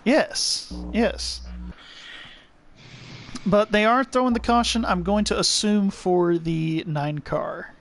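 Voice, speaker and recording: Man, young adult, high pitch, race commentator, conversational, chatty. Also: slow pace, vocal fry. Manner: chatty, loose and conversational, reads viewer comments aloud. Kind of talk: live sim racing commentary.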